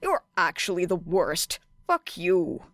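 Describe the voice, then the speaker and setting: A woman voicing a sardonic, put-upon teenage boy in an audiobook, dry, huffy and deadpan. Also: on the low side, takes it slowly, dry recording, full character voice. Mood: sulky, exasperated.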